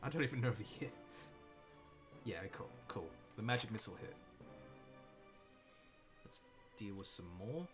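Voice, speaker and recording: Laid-back Australian-American gamer. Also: male voice, lowish voice, expressive, moderate pace, pauses often. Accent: mixed Australian and American. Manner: chatty, talks to himself while playing. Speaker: streamer. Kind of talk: casual gameplay commentary. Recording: game music in background.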